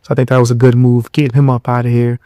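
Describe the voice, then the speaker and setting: A tired young man hosting a podcast, giving blunt, mocking commentary in loose slang with a low voice. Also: monotone, racing, dark tone, no room sound, clean studio sound. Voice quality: lower voice. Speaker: podcast host. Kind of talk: casual commentary.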